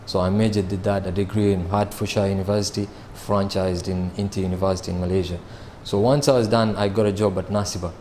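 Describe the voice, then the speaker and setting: Deep-voiced man, measured. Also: level tone, dark tone, slight background noise. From Kenya. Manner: measured, restarts often, many "uh" fillers. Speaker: sales manager. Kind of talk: interview answers.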